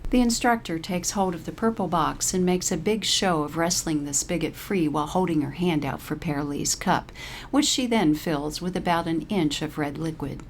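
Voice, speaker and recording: A woman with a fairly low voice narrates a short story from a script. She reads as the storyteller between lines of dialogue. Noticeable noise runs behind her. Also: normal speed.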